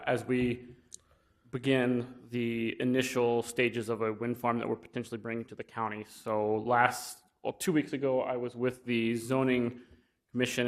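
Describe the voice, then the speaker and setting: A young man giving an informal presentation at a county meeting, talking plainly with many fillers and restarts. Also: mid-range voice. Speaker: wind energy business developer. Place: county commission meeting room.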